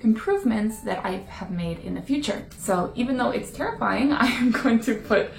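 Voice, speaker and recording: A woman's voice: a little high, animated, normal speed, creaky, slight background noise. A woman speaking casually in a vlog, chatty and self-deprecating. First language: English. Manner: chatty, self-deprecating, laughs now and then. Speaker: vlogger. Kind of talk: casual talking-head vlog. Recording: roomy echo. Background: faint background music.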